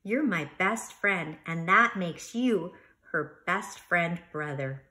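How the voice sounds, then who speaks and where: A woman's voice: lowish voice, animated, slow pace, pushed and bright, heavily acted. A woman voicing a young boy bear, a sensitive and earnest big brother, in an emphatic storybook reading.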